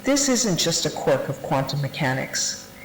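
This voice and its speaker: A narrator playing a research division instructor who delivers a classified briefing in a formal, deliberate, matter-of-fact way, as in an analog horror training tape. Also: medium pitch, very animated. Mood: formal, detached. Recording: roomy, noisy 1990s VHS-style tape sound.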